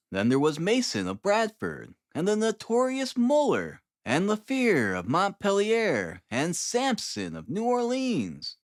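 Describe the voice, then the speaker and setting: A man's voice: high voice, dry recording, clean studio sound, voice pushed up, full character voice. A man voicing an eager, proud detective-scientist in an audiobook, enthusiastic and exclamatory. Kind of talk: audiobook character acting.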